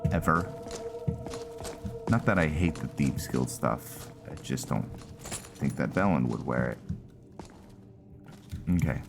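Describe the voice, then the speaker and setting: A man's voice: rumbling low, lively intonation, slow pace, creaky, pauses often, music in the background. A man giving casual, conversational let's-play commentary, musing aloud as he plays. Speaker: gaming streamer, host.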